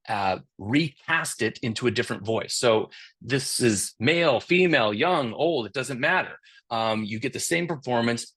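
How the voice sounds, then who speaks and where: A man's voice: medium pitch, a bit bright, husky. A middle-aged man giving a webinar talk as creative director, speaking casually with many fillers while losing his voice. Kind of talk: conference talk.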